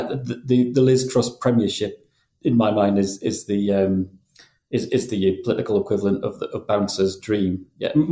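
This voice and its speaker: A man's voice: fairly low pitch, dark tone, reverberant. A man with a slight Geordie accent, co-hosting a casual news podcast, talking in a chatty way with frequent restarts. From North East England. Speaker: podcast co-host, journalist.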